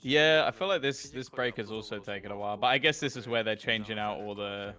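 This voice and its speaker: A man's voice: fairly low pitch, brisk, sharp tone. A man from South Africa who hosts a watch-party stream, rambling and swearing freely in casual chat.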